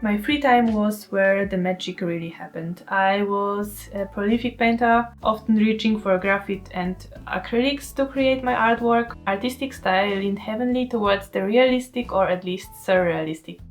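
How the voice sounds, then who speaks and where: A woman's voice: medium pitch, moderate pace, few pauses, faint music behind. A woman in her twenties from Poland with a Polish accent, narrating her story as an artist in a candid, self-deprecating way. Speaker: artist vlogger. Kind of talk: scripted voice-over narration.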